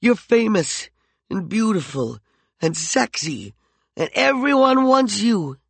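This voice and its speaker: A man's voice: sits high, sing-song, slow, creaky, dry recording, voice pushed up, heavily acted. A man playing a jealous, volatile hothead. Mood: pleading. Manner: heated, mocking and intense. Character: jealous, volatile young man, raging then pleading.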